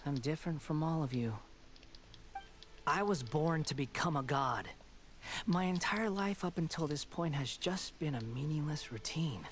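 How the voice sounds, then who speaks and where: A man's voice: a little high, animated, moderate pace, fairly bright tone. An actor playing an arrogant young man who believes he is a god, haughty and taunting in a theatrical video game style. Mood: grandiose, contemptuous. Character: arrogant young male would-be god, mocking and grandiose. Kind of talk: video game dialogue acting.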